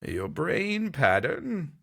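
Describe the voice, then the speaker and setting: Man playing a slow, theatrical mystic fortune-teller. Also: a little high, wildly swooping pitch, creaky, a bit bright, husky, exaggerated voice. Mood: sly, ominous. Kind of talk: pulp sci-fi audio storytelling.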